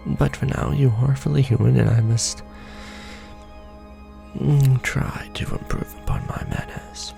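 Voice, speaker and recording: A man playing a courtly, ancient vampire lord in an ASMR roleplay, speaking intimately and formally in a gravelly, often breathy half-whisper with measured pauses. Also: medium pitch, fairly even intonation. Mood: apologetic, courteous. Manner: formal, archaic wording, measured delivery with pauses. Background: music.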